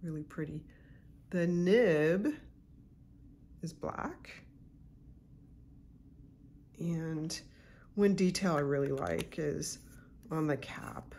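A woman hosting her own channel, congested from a cold, chatting casually and unhurriedly with soft asides while she unboxes a pen at her desk at home. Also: deep voice, extremely slow, gravelly, long pauses, a little hiss or hum. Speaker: YouTube channel host.

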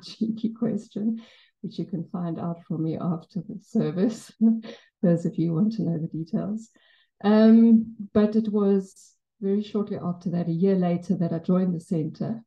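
A woman's voice: fairly low pitch, dull audio. Afrikaans-accented woman giving a memorial tribute. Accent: Afrikaans South African. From South Africa. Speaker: memorial speaker. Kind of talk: personal tribute speech. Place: Buddhist center shrine room, indoors. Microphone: handheld mic, far camera.

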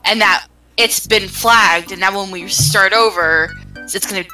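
A woman's voice: mid-range voice, unhurried, bright, forceful tone, far from the mic, soft background music. A woman chatting casually while playing a game with friends. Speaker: player in a group gaming stream. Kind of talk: casual chat.

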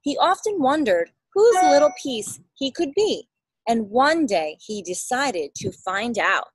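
An expressive woman reads a picture book to a young child. Her voice is fairly high and very lively in pitch, with an unhurried pace. She explains and asks simple questions as she goes. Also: slightly rough, dry recording. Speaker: parent reading a picture book aloud. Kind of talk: children's storybook read-aloud. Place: outdoors in a backyard. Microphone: phone or laptop at arm's length.

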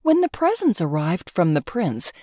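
Levendige voorlezende vrouw, vrij lage stem. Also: female voice, fairly low pitch, wildly swooping pitch, moderate pace, mellow, no room sound, clean studio sound. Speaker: storybook narrator. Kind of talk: expressive scripted children's story narration.

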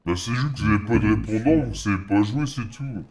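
A man's voice: fairly low pitch, fast talker, a little mellow. A man taunting other players in game voice chat, mocking and provocative.

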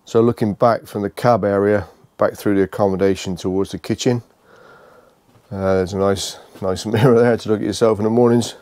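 Low, mellow-voiced British man. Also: steady tone, dry recording. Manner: halting, repeats phrases as he goes. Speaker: vlogger giving a motorhome tour. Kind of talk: casual unscripted walkthrough narration.

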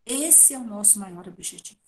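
A woman's voice: medium pitch, animated, moderate pace, darkish tone. A middle-aged Brazilian woman giving a didactic devotional talk, asking and answering her own questions, speaking into an earphone headset on a video call. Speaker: guest speaker at a spiritist talk. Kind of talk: devotional talk, explaining read passages. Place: at home indoors on a video call. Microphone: wired earphone headset.

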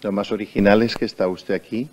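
A man with an Andalusian accent, interviewing a guest calmly in a TV studio with quiet, sparse acknowledgements. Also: on the low side, brisk. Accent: Andalusian Spanish. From Andalusia, Spain. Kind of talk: calm, probing TV interview. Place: TV studio set, indoors.

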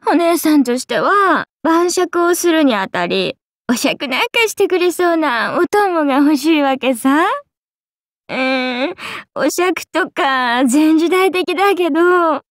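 A woman's voice: lively intonation, measured pace, dry recording, studio quality. A woman voicing a playful, teasing serpent-oni goddess for a mobile game, with breezy big-sister airs and a drawling, sake-loving manner. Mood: tipsy, playful. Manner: breezy, drawling, teasing, slipping into low murmurs. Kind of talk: Japanese mobile game character voice lines.

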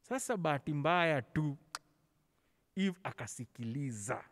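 Kenyan male children's Sunday school teacher, high voice, theatrical storyteller, handheld mic in a very reverberant church hall. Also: expressive, unhurried, fairly bright tone. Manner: theatrical, acting out dialogue with stammered repetitions; code-switches casually between languages. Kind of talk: animated Bible storytelling for children. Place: large church hall, on stage. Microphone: handheld microphone, held close over face mask.

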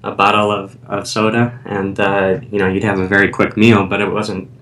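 A man's voice: little pitch movement, normal speed, fairly bright tone. A man who is an author and guest, telling his personal story in a hesitant way with many fillers. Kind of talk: interview storytelling. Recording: distant mic with faint rumble.

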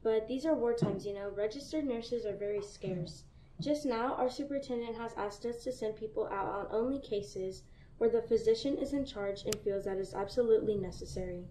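A teenage girl acting a prim, formal hospital receptionist in a school radio play, calm and polite. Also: on the high side, a little fast, roomy sound, slight background noise, lightly acted, rough recording. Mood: firm. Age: teens. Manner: formal, calm, repeating "madam".

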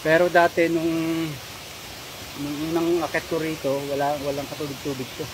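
Man in his thirties, from the Philippines, slightly high voice, chatty hiking vlogger, phone at arm's length, loud waterfall hiss. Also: level tone. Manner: chatty, addresses viewers as guys. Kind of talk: casual hiking vlog. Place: outdoors by a forest waterfall. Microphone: phone held at arm's length. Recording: hissy outdoor phone audio over waterfall. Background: rushing waterfall.

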